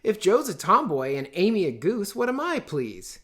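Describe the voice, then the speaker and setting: A gentle teenage girl voiced by a man reading a storybook, shy and mild. The character speaks earnestly as the family's peacemaker. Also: high voice, wildly swooping pitch, moderate pace, pitched above the performer's own voice, full character voice.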